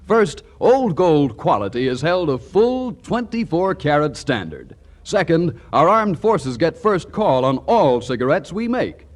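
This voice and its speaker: A man's voice: fairly high pitch, big pitch swings, measured pace. An American man announcing for a sponsor on 1940s radio in a polished, salesmanlike manner. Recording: vintage 1940s radio broadcast.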